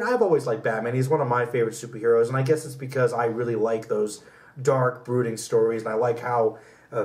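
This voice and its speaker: A man co-hosting a casual pop culture podcast, rambling and opinionated as he chats. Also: medium pitch. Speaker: podcast host. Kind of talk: casual conversational debate about pop culture. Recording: roomy echo, distant from mic.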